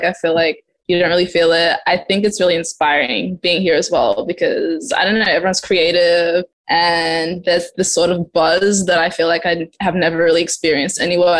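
A young woman from Zimbabwe, a guest musician in a casual video-call interview, bubbly and quick to laugh, with lots of "like" in her talk. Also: lowish voice. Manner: bubbly, laughs often, uses filler "like".

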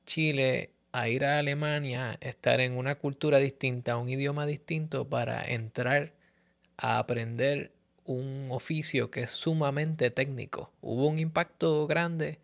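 Male podcast host in his 30s, gravelly edge, asks with tag questions. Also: medium pitch, steady tone, unhurried. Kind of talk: interview hosting.

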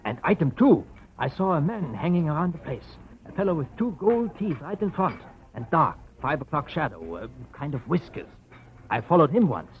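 Fussy older schemer, hesitant n confiding, voice up and down a lot, old dull radio drama sound. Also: male voice, on the high side, big pitch swings, moderate pace. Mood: worried. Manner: hesitant, fussy, emphatic delivery. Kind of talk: 1940s radio drama acting.

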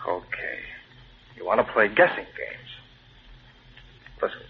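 An actor playing a curt, commanding newspaper chief turned menacing vigilante in an old-time radio drama. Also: male voice, on the low side, a little slow, slightly rough. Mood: cool menace. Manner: curt, commanding, coolly threatening. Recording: tinny, noisy old radio recording.

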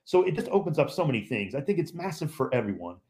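A man's voice: fairly high pitch, very animated, quick pace, darkish tone, a little hiss or hum. A man who calls games on the radio, a guest on a podcast chat, talking in an emphatic, opinionated way and repeating points for stress.

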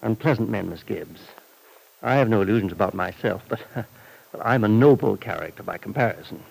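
An actor playing a shady, domineering fixer for hire in a vintage radio serial, sardonic and deliberately threatening. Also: male voice, fairly low pitch, animated, creaky, darkish tone, breathy edge, heavily acted, low-quality recording. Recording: vintage radio drama recording.

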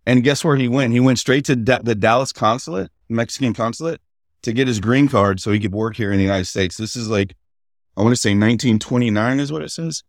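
A man's voice: medium pitch, no room sound, studio quality. Middle-aged man telling family stories. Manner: halting, with many fillers and restarts. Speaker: guest. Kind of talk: casual interview storytelling.

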